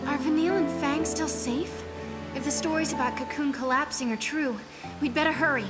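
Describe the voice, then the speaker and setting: Casting: actress as earnest young heroine for a game, high voice, caring, over loud score. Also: female voice, fairly quick, lightly acted. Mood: concerned. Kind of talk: dramatic video game voice acting. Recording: loud game music behind the voice.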